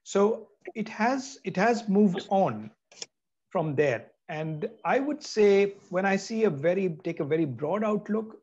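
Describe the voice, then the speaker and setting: A man from India speaking as a panelist on a video call, measured and reflective. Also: sits high, animated, a little mellow, husky. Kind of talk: panel discussion. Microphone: webcam on a video call. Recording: dull video call audio.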